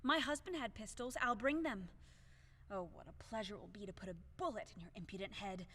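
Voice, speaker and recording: An actress playing a proud, fiery widow who is easily offended, haughty and melodramatic, in an audio play of a classic farce. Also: female voice, mid-range voice, a little fast, sharp tone, long reverb tail, a little hiss or hum, natural voice. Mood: furious, defiant.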